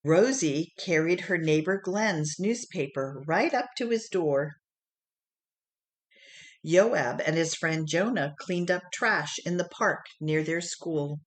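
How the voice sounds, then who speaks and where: Female picture-book narrator, lower-pitched, slow and expressive delivery. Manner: unhurried, expressive storytelling. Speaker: storybook reader.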